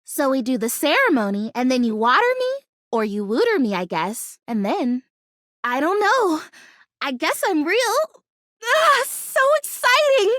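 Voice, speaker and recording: A woman voicing an earnest, excitable houseplant who dreams of being real, as a video game character with dramatic, expressive delivery. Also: sits high, a little slow, no room sound, clean studio sound, exaggerated voice. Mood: hopeful, excited.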